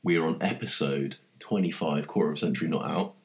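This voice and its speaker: A man hosting a casual podcast chat, sounding nasal from a cold and bantering in a relaxed, joking way. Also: rumbling low, quick pace, slight background noise. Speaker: podcast host.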